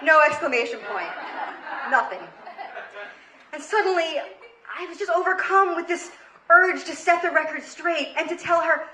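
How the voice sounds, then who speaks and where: A woman's voice: extremely high pitch, animated, moderate pace, long pauses, bright, forceful tone. An American woman in her thirties telling a comedic story live on stage, with a dramatic, emphatic delivery. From Queens, New York, United States. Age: 30s. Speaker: comedy storyteller, show host. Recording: roomy live stage recording, faint noise.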